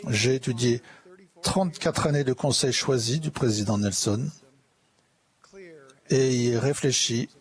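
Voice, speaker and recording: A man interpreting a church conference sermon in a measured, solemn, earnest way. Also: medium pitch, a little mellow. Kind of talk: formal sermon delivered in translation.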